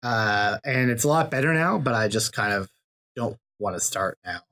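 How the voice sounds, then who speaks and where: A man's voice: lowish voice, animated, moderate pace, pushed and bright. A man chatting casually as a podcast guest, wry and dry in his humor.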